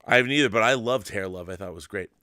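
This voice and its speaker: A man's voice: lowish voice, rapid-fire, pushed and bright, no echo, studio quality. A man hosting a casual live stream, chatting in an enthusiastic, rambling way and reading out viewer messages.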